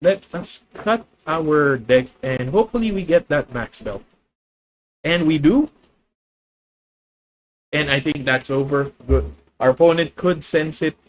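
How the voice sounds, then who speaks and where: A young man with a Filipino accent plays a card game live and talks through every move as he makes it. He often stops to correct himself and sometimes turns to speak to his viewers. His voice sits fairly high for a man. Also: lively intonation, measured pace, long pauses, poor audio. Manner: thinking aloud, self-correcting, addresses viewers. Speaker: streamer. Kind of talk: live gameplay commentary.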